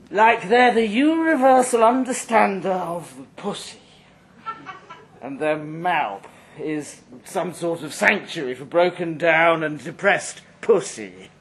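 A man acts out a drunk, mean banker in a live story reading, boasting crudely in a high voice. He draws his words out slowly and swings widely in pitch as he rambles and taunts. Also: fairly bright tone, full character voice, rough recording. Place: live reading at a London venue. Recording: live event recording, faint background noise.

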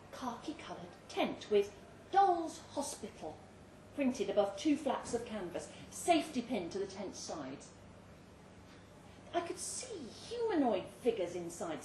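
A woman giving an expressive, theatrical reading of a suspenseful short story at a live indoor event. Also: a little high. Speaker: story reader. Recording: roomy live venue, distant mic, faint noise.

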